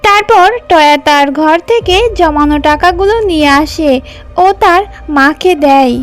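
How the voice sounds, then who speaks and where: A woman's voice: sits high, a little slow, soft background music. A woman narrating a children's cartoon story from a script.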